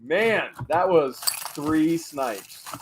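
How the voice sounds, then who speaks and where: High-voiced man calling live auction bids. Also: noisy background. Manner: excited, rapid bid calling. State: often loses voice after streams. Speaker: host running a live online auction. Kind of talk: fast auctioneer-style live stream chat.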